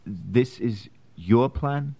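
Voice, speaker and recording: An actor playing an anxious, reluctant immigrant tradesman with a foreign accent in a historical drama, speaking in halting, careful phrases. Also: mid-range voice, lively intonation, dark tone, slightly rough, heavily acted. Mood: doubtful. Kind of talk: period historical drama acting.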